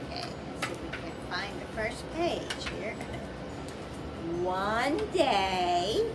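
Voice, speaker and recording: Slow, highly animated woman reading to children. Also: over loud music. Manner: sing-song, simple questions to child listeners. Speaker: children's librarian reading storytime. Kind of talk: read-aloud storytime for young children.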